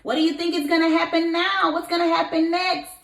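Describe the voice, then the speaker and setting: A woman teacher reading a story aloud to young children, expressive and encouraging, putting questions to her listeners. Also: very high voice, brisk, creaky, a little hiss or hum. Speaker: kindergarten teacher. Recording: echoey room.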